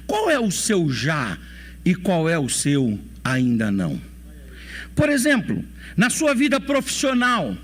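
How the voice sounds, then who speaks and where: A Brazilian man in his forties preaches in a church, asking the congregation question after question. His voice sits high for a man and swings widely in pitch. He repeats his key phrases again and again. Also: a bit bright. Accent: Brazilian Portuguese. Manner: preaching with rhetorical questions and repetition. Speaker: pastor. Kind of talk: sermon.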